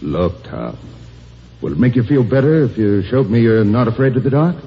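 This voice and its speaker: An actor playing a cruel man who taunts a coworker about the dark, speaking softly and menacingly in 1950s radio style. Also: medium pitch, animated, a little hiss or hum, natural voice. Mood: sinister, taunting. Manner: soft, slow and menacing, then mocking calls. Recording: dull old radio broadcast with music.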